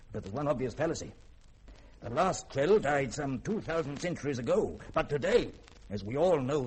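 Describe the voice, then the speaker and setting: A man's voice: medium pitch, a little mellow, slightly rough, rough recording. A man playing a proud, tormented older scientist and father with formal, theatrical diction in a 1950s radio drama. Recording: old radio recording with faint noise.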